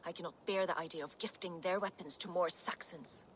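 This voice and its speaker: A woman's voice: fairly low pitch, a little fast, fairly bright tone, a little hiss or hum, close to an everyday voice. A woman playing a proud, grieving Viking warrior in a video game. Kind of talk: video game dramatic acting.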